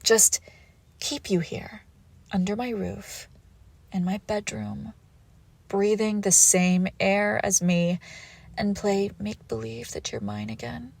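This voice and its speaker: A woman acts out a menacing female crime boss with a wounded lover's heart, in an intimate audio roleplay. She speaks slowly and deliberately, with a creaky edge and breathy drops into whispers. The sound is close and dry, and she stays seductive and commanding. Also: mid-range voice, animated, a little mellow, no echo, heavily acted. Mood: wounded, longing.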